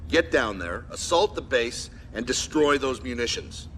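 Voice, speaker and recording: An actor playing a stern military commander in a video game, briefing troops in a clipped, formal, authoritative manner. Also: male voice, medium pitch, animated, a little slow, fairly bright tone, a little hiss or hum. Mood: commanding.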